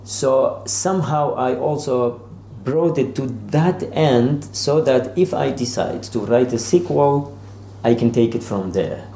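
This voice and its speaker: Man, dark mellow tone, halting and searching, repeated words, author interview, echoey room. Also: mid-range voice. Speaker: novelist being interviewed. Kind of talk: informal literary discussion. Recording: roomy echo with faint background noise.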